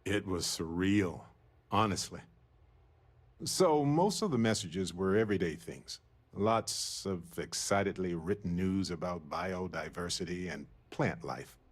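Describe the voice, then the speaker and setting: Game companion: wistful male explorer, low husky voice, earnest and conversational. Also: exaggerated voice. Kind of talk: scripted video game dialogue.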